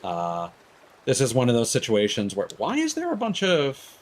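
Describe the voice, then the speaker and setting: A man's voice: medium pitch, wildly swooping pitch, moderate pace, noisy background. A man giving casual live game stream commentary, rambling and thinking aloud into a streaming microphone.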